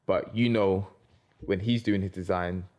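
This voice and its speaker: Male co-host, low voice, relaxed, chips in briefly. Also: a little slow, dull audio. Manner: relaxed, short interjections. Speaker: podcast co-host. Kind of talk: casual podcast chat.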